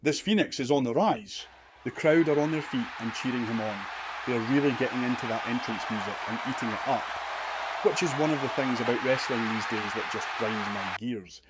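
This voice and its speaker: A man plays a sardonic wrestling commentator for a radio drama. He is blunt and slangy, in a medium-pitched voice, with music clearly playing behind him. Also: fairly quick, over a noisy crowd, close to an everyday voice, low-quality recording. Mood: grumbling.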